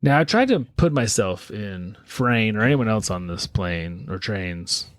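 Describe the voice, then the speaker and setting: A man co-hosting a podcast in casual chat, teasing and building up a story with dramatic pauses. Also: fairly low pitch, expressive, no room sound, clean studio sound. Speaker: podcast co-host.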